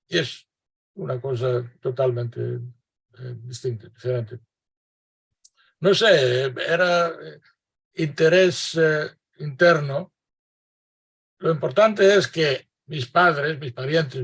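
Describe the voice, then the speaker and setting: A man's voice: fairly high pitch, vocal fry, breathy edge. An older man from Greece, a linguist guest answering interview questions over a video call, hesitant and full of fillers and restarts, laughing often.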